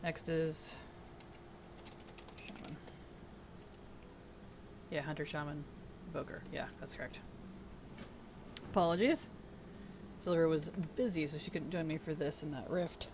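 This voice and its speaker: A streamer talking over gameplay at a middling pitch, voice frayed and weak after a sleepless night. Soft-spoken and easygoing, reading chat aloud and muttering to self between lines, with a faint hiss behind. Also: fairly even intonation, a little slow, pauses often. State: weak, strained voice. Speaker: game streamer. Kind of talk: casual live-stream chat while playing.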